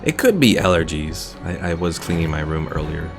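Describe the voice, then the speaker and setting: Low, creaky-voiced male game streamer. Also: lively intonation, moderate pace, over music. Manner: laid-back, thinking aloud. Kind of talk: casual gameplay commentary, reading viewer comments.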